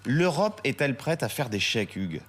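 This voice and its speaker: Male news presenter, medium pitch. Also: big pitch swings, a little fast, breathy edge. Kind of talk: live news interview.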